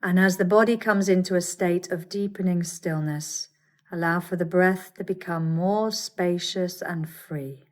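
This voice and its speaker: A woman teaching yoga nidra, guiding a meditation with soothing, measured instructions and gentle pauses. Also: on the low side, steady tone. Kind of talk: guided meditation.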